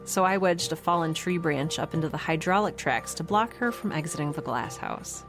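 An actress playing a wry lone space scientist who records a log with dry humour in an audio drama. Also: female voice, sits low, moderate pace, music in the background, natural voice. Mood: wry, mildly exasperated.

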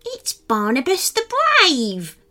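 A woman's voice: high-pitched, huge pitch swings, takes it slowly, bright, forceful tone, pitched above the performer's own voice, heavily acted. A woman voicing a cheerful, boastful little male gnome in a children's story, squealing and eager to persuade.

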